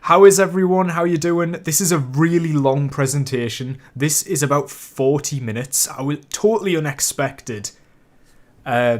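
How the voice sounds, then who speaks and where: A man hosting a casual live stream, chatty and rambling while getting over a cold with a cough and sniffle. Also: mid-range voice. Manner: chatty and rambling, with many fillers and restarts. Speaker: YouTube host streaming a live reaction.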